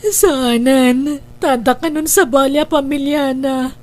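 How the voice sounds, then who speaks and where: A woman's voice: sits high, steady tone, takes it slowly, darkish tone, a little hiss or hum. A woman with an Ilocano accent playing a troubled, pleading elder sister and mother in a radio drama, with music behind her. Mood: tearful, pleading.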